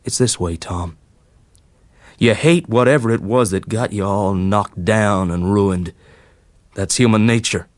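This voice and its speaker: A man voicing a rough, folksy fellow, opinionated and bitter but kindly, in colloquial rustic speech. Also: fairly low pitch, measured pace, pauses often, dry recording, lightly acted. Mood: bitter, philosophical.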